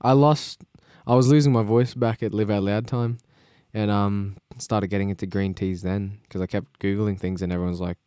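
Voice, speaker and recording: A young Australian man with a smooth, resonant voice sitting a little low in pitch. He talks in a laid-back, rambling way and laughs easily. The sound is close and dry, with no room behind it. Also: steady tone, normal speed, few pauses, warm tone, dry recording. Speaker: podcast co-host. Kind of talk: casual chat. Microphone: podcast microphone.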